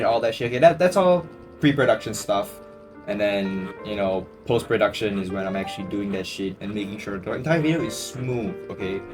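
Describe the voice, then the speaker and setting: A young man from Singapore, chatting casually and jokingly as he answers viewer questions, full of slang and fillers. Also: on the low side. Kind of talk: casual Q&A chat. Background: guitar music.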